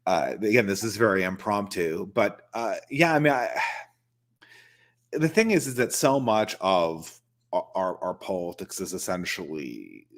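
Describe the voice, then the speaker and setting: Low-voiced rambling male host. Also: sing-song, measured pace. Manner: rambling, many restarts. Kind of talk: casual live-stream chat. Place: home.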